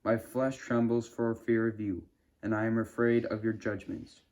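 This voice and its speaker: A man's voice: lowish voice, flat delivery, normal speed, a little mellow. A man reading verses of scripture aloud. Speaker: Bible reader.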